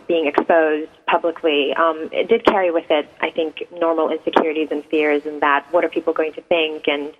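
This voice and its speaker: A woman in her thirties from Iran, a native Persian speaker, answering radio interview questions hesitantly and reflectively, with many ums. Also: low voice. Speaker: guest poet. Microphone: phone. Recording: tinny phone line.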